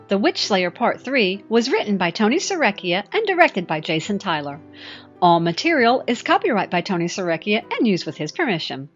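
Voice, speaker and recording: A woman's voice: medium pitch, a bit bright, dull audio. A woman announcer giving a scripted read of show credits.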